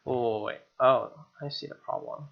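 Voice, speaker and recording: A man's voice: medium pitch, unhurried, vocal fry. A young man reading game text aloud as a let's play host, with irreverent, slangy commentary. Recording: dull lo-fi mic, music behind. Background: game music with guitar.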